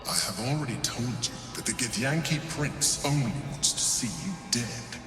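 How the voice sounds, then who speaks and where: An actor playing a grave, calculating male guardian in a video game, speaking in a measured, stern and formal way. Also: medium pitch, lively intonation, over music, natural voice. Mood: reproachful. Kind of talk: video game drama acting. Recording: echoing, long reverb.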